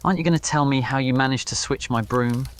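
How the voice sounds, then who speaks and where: A man plays a smirking young wizard schoolboy for a roleplay audio story. He is haughty and easily irritated, with a mocking, curt, murmuring way of talking at a middling pitch. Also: fast, close to an everyday voice. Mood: amused, mocking.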